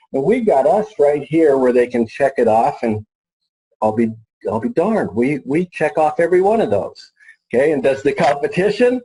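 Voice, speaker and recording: A middle-aged man informally presenting as a marketing expert, folksy and halting as he thinks aloud. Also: mid-range voice, darkish tone, poor audio. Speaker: marketing expert and author presenting his book.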